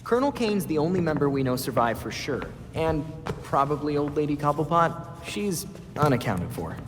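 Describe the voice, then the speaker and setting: A man's voice: a little high, big pitch swings, moderate pace, music in the background. A man acting an eager, chatty, wry teenage hero in a video game cutscene. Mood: wry, alert. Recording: reverberant room with clear noise.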